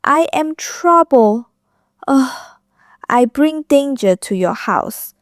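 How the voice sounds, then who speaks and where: An actress playing a timid, frightened young foreign woman in an audio drama, small-voiced and halting in broken English. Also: sits high, expressive, dry recording, studio quality. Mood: ashamed, fearful.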